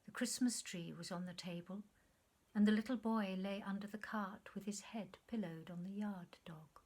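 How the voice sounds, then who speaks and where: Female audiobook narrator for literary fiction, expressive and dramatic, with frequent hushed, breathy delivery. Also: medium pitch, moderate pace. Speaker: actor reading a short story.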